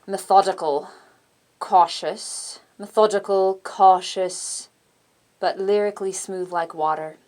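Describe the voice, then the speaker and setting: A woman voicing a methodical British navy captain for an audiobook, smooth and precise. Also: mid-range voice, fairly even intonation, slow pace, darkish tone, pitched below the performer's own voice, lightly acted. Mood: measured, cautious. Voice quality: smooth, slightly breathy. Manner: precise, unexpressive articulation.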